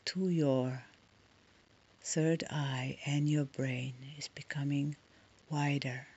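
A woman leading a guided meditation in a soft, soothing, breathy voice, leaving long pauses between short phrases. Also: low voice, fairly even intonation, dull audio.

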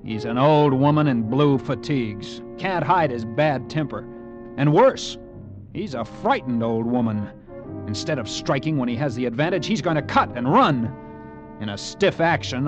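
Music runs strongly behind a man playing a resentful cavalry lieutenant. His voice is a little high for a man, and he speaks in a bitter, measured, literary way, like the narrator of an old-time radio adventure. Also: animated, highs cut off, natural voice. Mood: bitter contempt. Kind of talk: 1950s radio drama acting, brooding first-person narration. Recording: vintage radio broadcast with musical underscore.

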